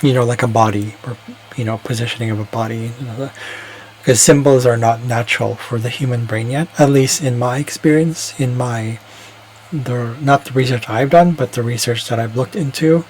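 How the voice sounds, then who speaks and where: A man's voice: medium pitch, normal speed, darkish tone, slight background noise, poor audio. A man talking alone in a casual, reflective monologue, rambling and hesitant, often restarting his sentences. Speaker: vlogger.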